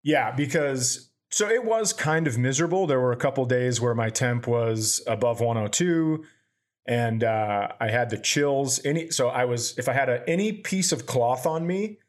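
A man's voice: medium pitch, animated, moderate pace. A man, a comedian hosting a podcast, telling profane and exaggerated stories in casual chat.

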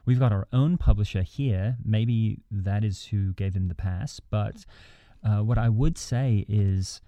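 Mild, pleased-with-himself church podcast host, played by a man: earnest rambler, very soft dark tone, dry close sound. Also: on the low side, measured pace, dry recording. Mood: self-satisfied, encouraging. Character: mild, self-satisfied evangelical pastor-type podcast host. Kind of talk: improvised satirical church podcast banter.

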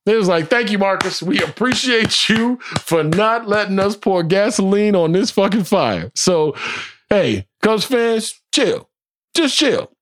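An American man with a slightly high voice in a dry, close recording. He hosts a sports podcast in a casual, opinionated way. His talk is blunt, joking and slangy, with some swearing and repetition for emphasis. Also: expressive, a little slow, a bit bright, dry recording. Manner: repeats phrases for emphasis.

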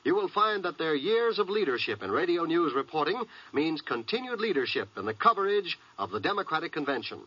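A male American network announcer delivers a 1950s radio promo. His voice is fairly high and forceful, slightly husky, with very animated pitch. It comes through dull, like an old broadcast. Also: brisk, few pauses.

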